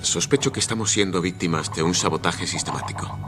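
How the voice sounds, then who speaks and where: An actor playing an earnest, suspicious expedition member with a formal, grave delivery in a radio drama. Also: male voice, low voice, a little fast, noisy background, close to an everyday voice. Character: suspicious, earnest male expedition member warning his chief. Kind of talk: suspense radio drama acting.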